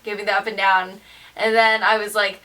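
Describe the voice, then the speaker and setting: A young woman of seventeen telling a story casually in an animated, emphatic, slangy way, as a vlogger. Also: mid-range voice, normal speed, distant mic, low-quality recording. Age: teens, seventeen.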